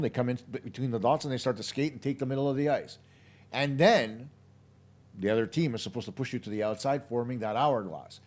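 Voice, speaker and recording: A man hosting a live post-game hockey show, his voice hoarse, talking in an emphatic, opinionated way straight to his viewers. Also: medium pitch, warm tone. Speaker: hockey podcast host.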